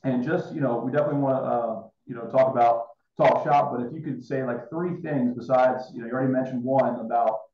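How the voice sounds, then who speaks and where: A man's voice: mid-range voice, steady tone, brisk, few pauses. Casual man host in an echoey room. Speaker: interview host, schoolteacher. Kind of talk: casual chat. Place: indoor room, on a video call. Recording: roomy, dull video call audio.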